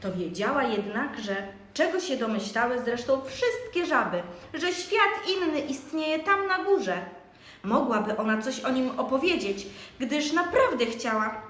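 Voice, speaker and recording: A woman's voice: sits high, a bit bright. A young girl reading scripted storybook narration for an amateur radio play. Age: child or young teen. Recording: echoey room, faint noise.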